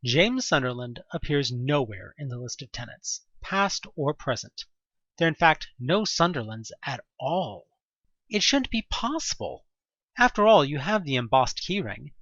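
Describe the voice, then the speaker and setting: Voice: medium pitch, sing-song, a little slow, a bit bright, no echo, dull audio. A narrator reading a scripted second-person story for an audio drama.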